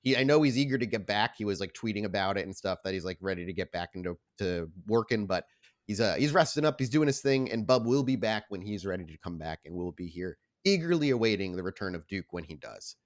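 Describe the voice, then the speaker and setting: A man's voice: on the low side, lively intonation, fast talker. A man speaking informally as the host and storyteller of a tabletop roleplay podcast, making casual announcements to listeners. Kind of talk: casual show announcements and tabletop game narration.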